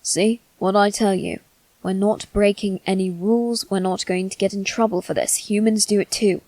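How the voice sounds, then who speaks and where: A woman's voice: mid-range voice, fairly even intonation, moderate pace, darkish tone, no echo, lightly acted, rough recording. Woman voicing a brash, cheeky demon brother. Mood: defiant. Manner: casual, cheeky, quarrelsome. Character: brash, argumentative, mischievous male demon servant triplet. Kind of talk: ASMR roleplay addressed to the listener.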